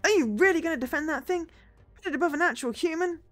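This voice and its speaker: Woman acting a loud, panicky male mechanic, pitched high. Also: fast, faint music behind. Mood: outraged. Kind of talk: visual novel read-aloud.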